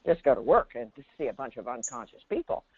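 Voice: mid-range voice, big pitch swings, fast talker, slightly rough. A host guiding a call-in discussion in a reflective, conversational teaching style over a phone line. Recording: narrow tinny phone line. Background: birdsong from the caller's line.

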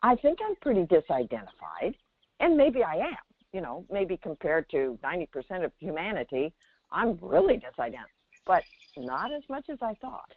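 A woman's voice comes through a narrow, dry phone line. It sits fairly low and swings expressively as she offers conversational spiritual guidance, halting and then pressing her point. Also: huge pitch swings, no echo. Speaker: spiritual teacher on a call-in show. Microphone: telephone.

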